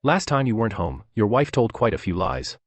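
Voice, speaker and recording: A man plays a domineering eldest son in a family radio drama. His low voice swings widely in pitch, bossy and condescending, in a dry, close recording. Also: sing-song, brisk, no echo. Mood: smugly commanding. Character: domineering, self-righteous eldest son and husband.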